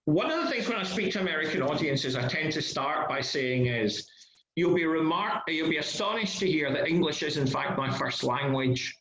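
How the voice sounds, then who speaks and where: A man's voice: fairly high pitch, expressive, normal speed, a bit bright. A middle-aged man with a mixed Scottish and Northern Irish accent, giving an academic talk over a video call in a self-deprecating, hesitant way with frequent restarts. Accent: Scottish and Northern Irish mix. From Scotland. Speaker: guest lecturer. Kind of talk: academic presentation. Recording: echoey room, dull lo-fi call audio.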